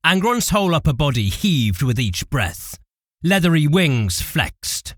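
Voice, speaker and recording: Male narrator for audio drama, lively swings in pitch, dry close studio sound. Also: measured pace, no room sound. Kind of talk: dramatic scripted fiction narration.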